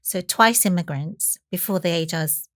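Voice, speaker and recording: A woman, her voice fairly low. She tells her story in a chatty way, full of "you know" and "um". The sound is close and dry. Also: moderate pace, no room sound. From Taiwan. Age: 40s. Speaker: chef and guest. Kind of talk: conversational storytelling.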